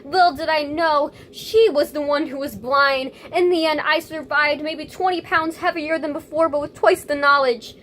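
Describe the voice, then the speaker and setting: A woman's voice: high voice, fairly even intonation, moderate pace, few pauses, a bit bright. A young woman performing spoken-word slam poetry with an earnest, dramatic and rhythmic delivery. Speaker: slam poet.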